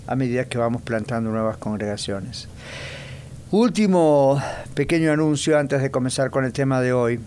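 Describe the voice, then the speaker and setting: A man, a pastor hosting a church radio talk show, giving announcements in an inviting way and repeating details slowly. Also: mid-range voice, slight background noise.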